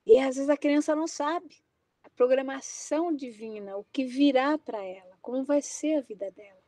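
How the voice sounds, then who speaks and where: A woman's voice: high-pitched, moderate pace, mellow, dry recording. A middle-aged woman with a Brazilian Portuguese accent, giving an impassioned academic talk, emphatic and exclaiming. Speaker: invited lecturer.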